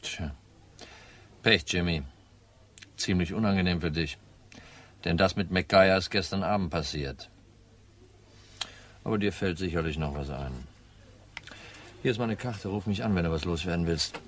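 An actor playing a tough, wry detective, terse and sardonic, in a hardboiled crime radio play. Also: male voice, deep voice, measured pace, pauses often. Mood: menacing, mocking.

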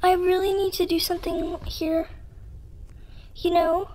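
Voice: little pitch movement, a little slow, a little mellow. A youthful gamer with a voice-changer effect, giving casual, dramatic and playfully self-deprecating gameplay commentary. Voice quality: high-pitched, youthful voice, altered with voice effects. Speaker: gaming video creator.

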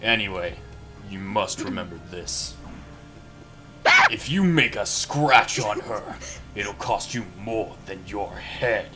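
A man's voice: lowish voice, lively intonation, takes it slowly, music in the background. A man voicing a serious, secretive, commanding hooded henchman in a game, speaking with stern condescension. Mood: stern, threatening.

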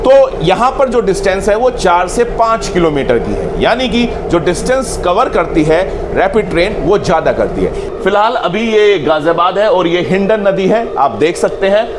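Middle-aged male travel vlogger, higher-set voice, conversational and demonstrative, clearly noisy transit backdrop. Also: very animated, normal speed. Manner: conversational, demonstrative, addresses viewers directly. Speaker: vlog host. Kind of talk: casual explanatory travel vlog. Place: on a moving train and around stations. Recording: clearly noisy train and station ambience. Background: train and vehicle noise.

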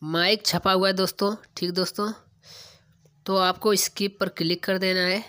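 Casting: young man, tech tutorial host, casual and instructional, medium-to-high male voice. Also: flat delivery, normal speed, bright, forceful tone, clear, resonant tone. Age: young adult.

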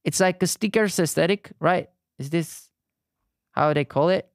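A man's voice: on the high side, no echo, studio quality. Italian man chatting while painting. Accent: southern Italian. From Italy. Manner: halting, frequent restarts and fillers, friendly. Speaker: art streamer. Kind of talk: casual live-stream chat while painting.

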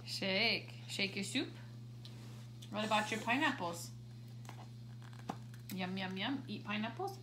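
A woman's voice: medium pitch, takes it slowly, long pauses, sharp tone, a little hiss or hum. A woman playing with a toddler on a living room floor, patiently prompting simple words and manners. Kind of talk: coaching a toddler through play. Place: carpeted living room at home, indoors.

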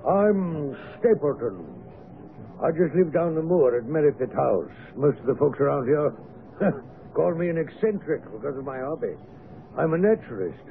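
An actor playing an affable, eccentric naturalist neighbour with a sinister edge, chatty and courteous, in a radio drama. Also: male voice, fairly high pitch, expressive, moderate pace, vocal fry. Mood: friendly. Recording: noisy phone-like vintage radio broadcast.

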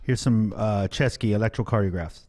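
A man co-hosting a casual music livestream from a studio, quick and joking as he picks the songs. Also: fairly low pitch, a little mellow. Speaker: co-host. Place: studio with red curtains. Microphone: studio mic on a boom arm.

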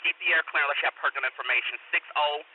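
A man's voice: a little high, fairly even intonation, racing. An American man working as a police radio dispatcher, urgently giving repeated, commanding instructions over a two-way radio. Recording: noisy phone-like police scanner radio.

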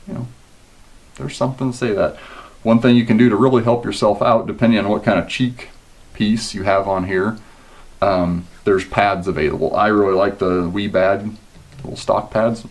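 A man in his thirties from Kansas, casually talking to the camera about rifle setups in a relaxed, conversational way, with plenty of ums and you-knows, in a small indoor gun room. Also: mid-range voice. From Kansas, USA. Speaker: competition shooter and channel host. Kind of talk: casual gear talk to camera.

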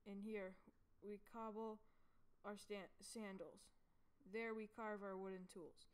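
A proud, welcoming monk from a storybook, read by a woman, explains things to his guests. The voice is clear and smooth, at a medium pitch. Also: steady tone, slow, lightly acted.

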